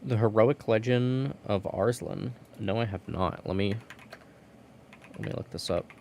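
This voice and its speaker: A man's voice: on the low side, a little slow, creaky, darkish tone, slight background noise. A man streaming from home, chatting casually while gaming, rambling and restarting his words.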